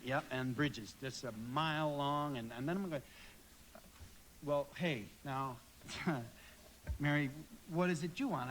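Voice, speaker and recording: Earnest, high-pitched, stammering young dreamer. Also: male voice, a bit bright, low-quality recording. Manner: stammering, halting, rambling. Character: earnest, folksy young small-town man, excitable dreamer. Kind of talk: live radio play acting. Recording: live stage recording with background noise.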